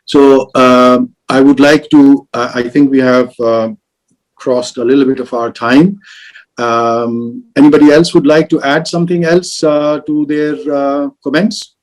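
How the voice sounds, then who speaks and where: A man moderating an online travel industry panel on a video call, halting with many fillers and restarts. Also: mid-range voice, little pitch movement, low-quality recording.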